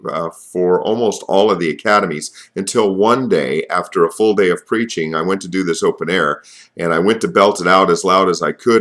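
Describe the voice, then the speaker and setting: A man giving an informal instructional talk as an open-air preaching coach, speaking earnestly and with emphasis, pausing between phrases. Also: low voice, fairly bright tone.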